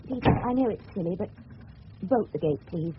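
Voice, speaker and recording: A woman's voice: medium pitch, expressive, moderate pace, natural voice. An actress playing a fragile, disillusioned married Englishwoman in a radio drama, speaking falteringly and tenderly. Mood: shaken, faltering. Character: fragile, disillusioned married woman, the boy's neighbour. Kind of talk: 1970s radio play acting. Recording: muffled, faintly hissy old radio broadcast.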